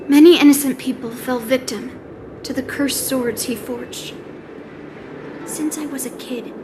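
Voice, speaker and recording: A woman's voice: sits high, noisy background. A woman voicing a blunt, headstrong, self-assured young girl sword fighter in a video game. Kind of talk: video game cutscene acting.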